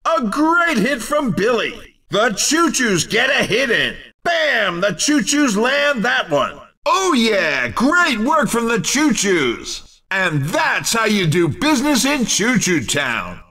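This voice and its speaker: An actor playing an excitable video game race commentator, calling out hits in a punchy, exclamatory shout. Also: male voice, very high voice, slow pace, far from the mic, full character voice. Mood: excited. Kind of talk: excited arcade sports commentary.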